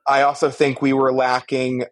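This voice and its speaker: A man's voice: medium pitch, monotone, normal speed. A man co-hosting a podcast, giving casual but articulate critique in long, emphatic explanations. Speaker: podcast co-host. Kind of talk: casual media discussion and critique.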